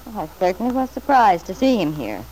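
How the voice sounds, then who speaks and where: An actress playing a scheming, flattering woman in an old-time radio soap, solicitous and emphatic with false devotion. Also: mid-range voice, brisk, lightly acted. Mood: curious, probing. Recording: noisy vintage radio drama recording.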